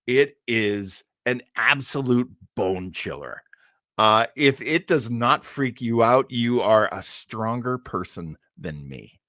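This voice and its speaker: A man hosting a livestream, chatting casually to viewers in a halting, enthusiastic way. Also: medium pitch, fairly bright tone, no room sound, clean studio sound. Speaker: livestream host. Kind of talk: casual live chat to viewers.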